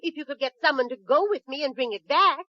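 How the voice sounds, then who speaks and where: A woman's voice: sits high, fast, no echo, highs cut off, close to an everyday voice. An actress playing a chatty, fluttery society lady who gossips, in 1940s radio sitcom style.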